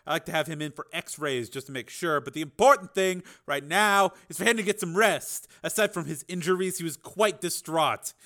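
A man voicing a calm male doctor who gives reassuring, matter-of-fact medical reports in an audiobook drama. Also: on the high side, voice pushed up.

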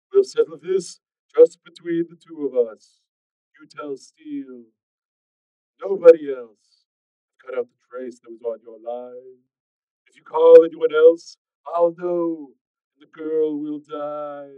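Slow, coarse, hollow menacing kidnapper. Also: male voice, on the high side, smooth, dry recording, dull audio, voice pushed up. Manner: slow, deliberate, threatening. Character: menacing male kidnapper on a phone, coldly threatening.

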